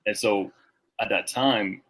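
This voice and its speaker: Male guest in his thirties from Rwanda; deep, husky voice, easygoing and reflective. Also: takes it slowly, fairly bright tone. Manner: reflective, loose, many filler words. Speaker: guest, Marine veteran. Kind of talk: casual podcast conversation.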